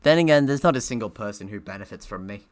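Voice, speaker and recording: A man's voice: fairly low pitch, animated, quick pace. A man reading a game aloud in a casual let's-play style, breaking off for self-deprecating, sweary asides.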